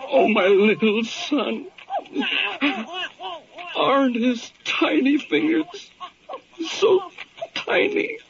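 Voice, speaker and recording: An actor in an old-time radio drama playing an overwrought, tearful new father, halting and tremulous, repeating his words. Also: very high voice, big pitch swings, vocal fry, crowd noise, exaggerated voice. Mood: awed, tearful. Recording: dull vintage radio recording with music.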